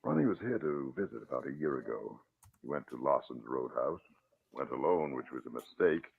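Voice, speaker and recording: Very deep, gravelly old commissioner. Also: male voice, lively intonation, brisk, exaggerated voice. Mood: grim, resigned. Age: older. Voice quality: gruff, gravelly. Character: troubled older police commissioner, resigned and blunt. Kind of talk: 1940s radio acting. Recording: muffled, narrow-band old radio recording.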